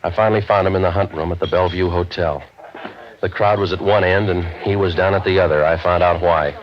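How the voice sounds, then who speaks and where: Casting: male actor as tough, world-weary American gumshoe, low voice, sardonic deadpan, muffled vintage radio sound. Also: fairly quick, natural voice. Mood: wry narration. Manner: sardonic, clipped, deadpan wisecracks. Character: cynical wisecracking male private detective, world-weary and tough. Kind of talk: 1940s radio detective drama acting, hard-boiled first-person narration.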